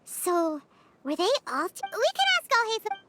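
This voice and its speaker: A woman voicing a tiny, excitable fairy companion in a game cutscene, squeaky, referring to herself in the third person. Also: moderate pace, very noisy, exaggerated voice. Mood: chirpy. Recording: game audio with loud score. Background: music.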